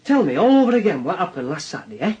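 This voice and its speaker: A high-pitched, husky Northern English police detective in a radio drama. He needles his suspect with insistent questions, tacking on little tags and dry sarcasm. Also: sing-song, very fast, dark tone, muffled recording, full character voice. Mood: probing. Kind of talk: naturalistic radio drama dialogue.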